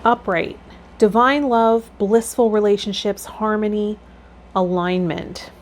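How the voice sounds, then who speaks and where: Woman, mid-pitched voice, tarot vlog host, read-aloud card meanings, casual asides. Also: fairly even intonation, slow pace, a little hiss or hum.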